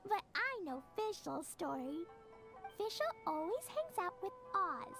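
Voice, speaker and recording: A woman's voice: very high voice, very animated, slow pace, a bit bright, soft background music, exaggerated voice. A woman voicing a sweet, innocent and eager little girl in an anime game. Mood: cheerful, earnest. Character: cheerful, innocent little girl who loves mischief.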